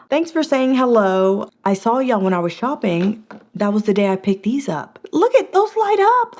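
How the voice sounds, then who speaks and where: Middle-aged lady doing a haul review, chatty and excited, pitch jumps all over, kinda hoarse. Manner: chatty and animated, with gasps and big jumps in pitch. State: a little hoarse. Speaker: product review host. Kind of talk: casual product review vlog.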